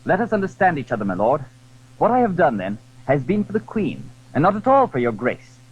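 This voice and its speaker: A man's voice: fairly high pitch, wildly swooping pitch, brisk, husky, heavily acted. A man voicing an earnest, gallant young musketeer in a period audio drama, eager and formal in his speech. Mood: proud, sincere. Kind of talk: period radio drama acting. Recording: dull, hissy old audio drama recording.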